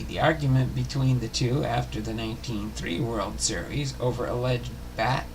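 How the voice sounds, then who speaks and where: A man hosting a baseball history series, reading a biography aloud in a halting way, stumbling and rereading words. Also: medium pitch, vocal fry, background noise.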